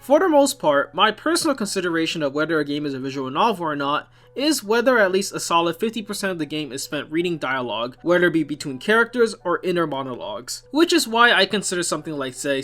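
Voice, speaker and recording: Higher-pitched man narrating a video essay. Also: a bit bright. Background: background music.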